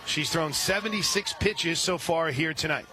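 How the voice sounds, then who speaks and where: A man doing radio play-by-play of a softball game, calling pitches steadily and rising on big plays. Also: moderate pace, music in the background. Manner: steady play-by-play with brief asides, rising when excited. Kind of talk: radio sports commentary.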